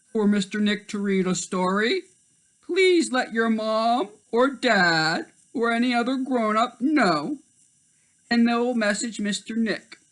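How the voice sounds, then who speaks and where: A man's voice: high voice, fairly bright tone, poor audio. A man reading stories aloud for young children in a friendly, direct manner. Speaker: children's storybook reader.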